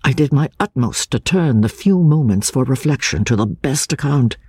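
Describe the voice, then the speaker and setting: Guy doing dramatic audiobook narration, old classic novel style, raspy voice, lots of swoop, theatrical and measured. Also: mid-range voice, expressive, a little mellow, no echo, studio quality.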